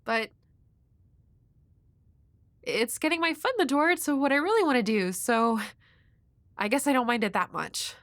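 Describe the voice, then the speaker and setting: A woman playing a shy, flustered young neighbor in an intimate audio roleplay, speaking nervously and haltingly to the listener. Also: fairly high pitch, expressive, a bit bright, no room sound, studio quality. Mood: shyly chatty. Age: young adult. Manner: nervous, halting, hesitant with stammers. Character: shy, flustered young woman next door, awkward and sweet.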